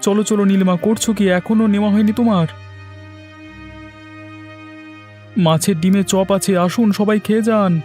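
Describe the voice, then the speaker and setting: A man voicing a scheming street-food seller in a cartoon, hurried, boastful and dismissive. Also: sits high, fairly even intonation, darkish tone, faint music behind, lightly acted. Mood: hurried, sly. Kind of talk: cartoon dialogue.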